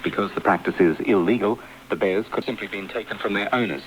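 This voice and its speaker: A man with a deep voice reads a prepared news report. It sounds like a radio broadcast with slight noise behind it. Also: quick pace, lo-fi sound. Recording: faintly noisy radio broadcast.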